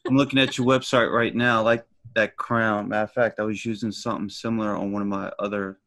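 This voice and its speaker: A man's voice: lowish voice, flat delivery, fast talker, a bit bright. A man co-hosting an interview, chatting casually and asking practical questions. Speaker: interview co-host.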